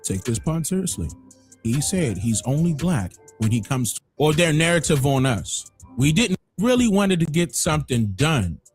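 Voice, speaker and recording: A man hosting a livestream, giving emphatic opinionated commentary that swings from loud exclamations to low muttering. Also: slightly rough. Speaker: livestream host.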